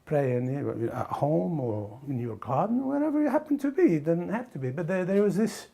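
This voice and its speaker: Halting man with a creaky, husky voice. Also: a little high, very animated, warm tone. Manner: halting, searching for words. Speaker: documentary interviewee. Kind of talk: casual reflective conversation.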